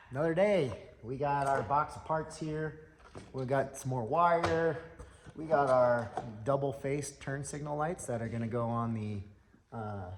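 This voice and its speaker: A hoarse, plain-spoken man narrates his shop work in a matter-of-fact way. His voice is slightly worn and sits at an ordinary pitch. The sound rings around a big shop with a faint hum of noise behind it. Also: in a large hall. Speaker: truck mechanic and shop owner. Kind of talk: casual shop vlog narration.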